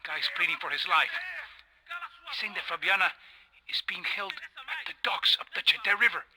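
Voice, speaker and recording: A man's voice: high voice, sing-song, moderate pace. An actor playing an urgent, streetwise gunman partner in a video game, talking in an informal, pressed way. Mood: grim, tense. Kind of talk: video game cutscene acting. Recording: loud action noise and music behind.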